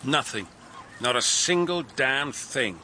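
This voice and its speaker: A frustrated police inspector in a radio drama. His English-accented voice is tense and forceful at a middle pitch, blunt in delivery, over faint background noise. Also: lively intonation, slow, heavily acted. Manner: blunt, exasperated.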